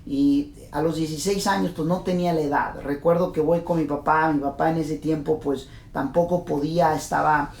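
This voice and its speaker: A man's voice: fairly even intonation, moderate pace, vocal fry, few pauses, darkish tone. A man in his late thirties with a Mexican accent, earnestly telling his life story to course subscribers, with many fillers and restarts. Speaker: real estate investor and course host. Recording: roomy with faint rumble.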